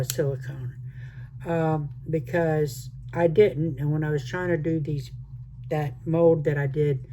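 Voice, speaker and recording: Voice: medium pitch, warm tone, slight background noise, poor audio. An older, retired speaker with a mixed Southern American accent, chatting casually to viewers while doing a resin craft. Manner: chatty, rambling, talks to viewers as y'all. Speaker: resin crafting channel host. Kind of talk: casual crafting vlog chat.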